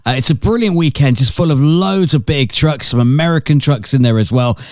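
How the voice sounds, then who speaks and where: A man with a slightly croaky voice, chatting casually as a radio presenter. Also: fairly high pitch, brisk, a little mellow, no echo, clean studio sound. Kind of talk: casual radio link chat. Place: dry radio studio.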